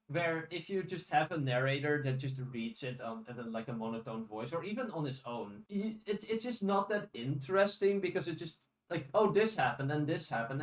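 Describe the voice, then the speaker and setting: A man co-hosting a podcast in casual, rambling discussion, often paraphrasing in dialogue. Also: fairly high pitch. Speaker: podcast co-host. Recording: roomy, echoey sound.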